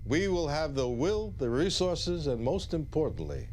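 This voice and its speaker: An actor playing a confident political leader in a game's news interview, speaking in emphatic, oratorical phrases. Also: male voice, fairly high pitch, huge pitch swings, unhurried, a little mellow, slight background noise. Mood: resolute.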